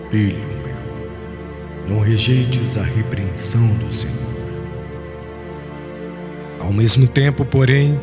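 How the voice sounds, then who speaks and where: A man with a Brazilian accent reading dramatic, solemn audiobook narration, with music playing behind him. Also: mid-range voice. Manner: solemn, expressive.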